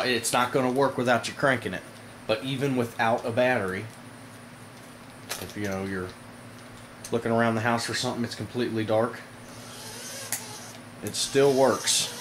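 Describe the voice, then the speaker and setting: A man giving a casual hands-on product demonstration to viewers, informal and halting, with frequent pauses. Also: medium pitch, a bit bright. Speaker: product reviewer, video host. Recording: noisy amateur camera audio.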